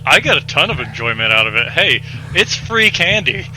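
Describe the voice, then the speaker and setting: A man in his twenties chatting casually as a podcast host, animated and given to joking exaggeration. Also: a little high, normal speed, noisy background. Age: 20s.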